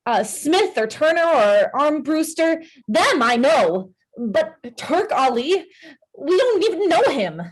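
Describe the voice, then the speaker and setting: A woman acts a worried wife in a hospital drama table read. Her voice is high, and she questions everything with anxious distrust. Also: very animated, slow pace, heavily acted, low-quality recording. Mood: dismayed. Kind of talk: screenplay table read acting.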